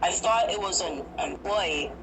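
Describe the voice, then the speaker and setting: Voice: medium pitch, measured pace. A narrator telling a first-person horror story, hesitant and prone to restarts. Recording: noisy recording.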